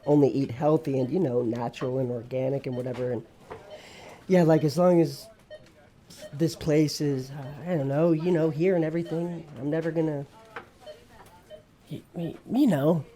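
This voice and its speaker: A man plays a sulky young slacker horseman of the apocalypse in an audio comedy. His voice is soft-edged and mellow, and he rambles in halting, slangy fragments. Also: fairly high pitch, steady tone, natural voice. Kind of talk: comedic audio drama dialogue.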